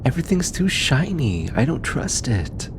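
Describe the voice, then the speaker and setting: A man voicing a gentle, teasing alien researcher in an intimate ASMR roleplay, hesitant and affectionate. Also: mid-range voice, big pitch swings, moderate pace, background noise, voice pushed up. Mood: playful teasing. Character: gentle, teasing, grateful male alien researcher aboard spacecraft.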